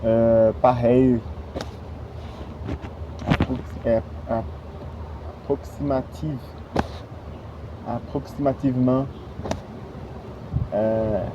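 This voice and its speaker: A man speaks slowly and haltingly, pausing often to search for the right word. His voice sits in a middle pitch. The recording is dull and lo-fi, made outdoors with clear traffic noise from the street around him. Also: steady tone. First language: Portuguese. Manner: halting, searching for words, many fillers. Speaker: language learner. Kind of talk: casual vlog monologue.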